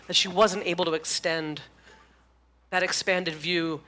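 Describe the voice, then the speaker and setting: A woman's voice: lowish voice, steady tone, unhurried, pushed and bright. A woman rabbi asking a guest a long question, searching for words with many "you know"s.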